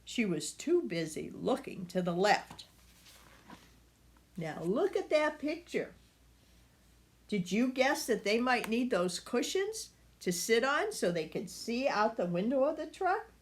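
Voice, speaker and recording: Older lady doing storytime for kids, slow pace, voice swings up and down a lot. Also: a little high, big pitch swings. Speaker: storybook reader. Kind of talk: expressive children's read-aloud with asides to listeners.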